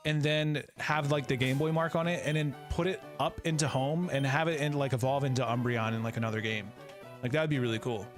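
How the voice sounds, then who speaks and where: A man's voice: medium pitch, fairly bright tone. A man in his thirties playing a game on a live stream, chatting in a laid-back, friendly way and greeting viewers. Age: 30s. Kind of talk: casual live-stream chat while playing.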